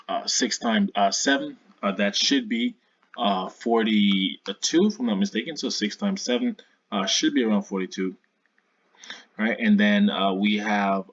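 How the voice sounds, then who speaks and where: A man's voice: lowish voice, a bit bright, husky, no room sound, highs cut off. A man giving an informal science tutorial as a video host, hesitant, often repeating and correcting himself.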